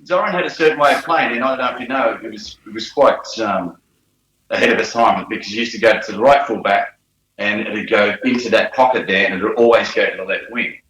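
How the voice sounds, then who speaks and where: A man in his forties from New South Wales with an Australian accent, a relaxed storyteller with frequent fillers and laughs, guesting in a casual interview. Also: on the low side, brisk, slight background noise. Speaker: guest, former footballer. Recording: roomy echo.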